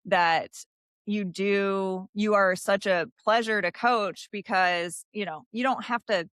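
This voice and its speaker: A woman's voice: mid-range voice, fairly even intonation, takes it slowly, fairly bright tone, smooth, dry recording, clean studio sound. A middle-aged woman hosting an interview, talking earnestly and encouragingly in long rambling sentences. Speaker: host and coach. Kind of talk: casual interview chat.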